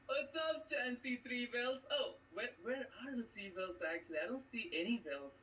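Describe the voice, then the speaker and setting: A man's voice: extremely high pitch, animated, moderate pace, sharp tone. A man taunting sarcastically on a video call, drawing out his mocking words. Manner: mocking, drawn out. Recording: dull, distant, noisy call audio.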